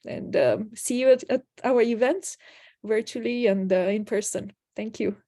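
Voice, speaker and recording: A woman's voice: on the high side, normal speed, dry recording, clean studio sound. A woman from Romania, hosting a video call and giving enthusiastic thanks and announcements. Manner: enthusiastic, warm thanks with frequent fillers. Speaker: host from the organizing cultural institute.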